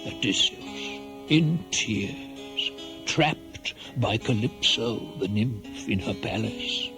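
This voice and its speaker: Animated male radio-play storyteller. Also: fairly low pitch, drawn-out words, creaky, music in the background. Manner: theatrical storytelling. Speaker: narrator. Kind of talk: dramatic scripted narration of a radio play.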